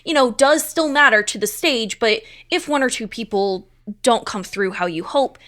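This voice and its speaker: A woman's voice: a little high, moderate pace, fairly bright tone. A woman talking conversationally as an interview guest, with an earnest, emphatic way of making her points. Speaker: stage manager, interview guest.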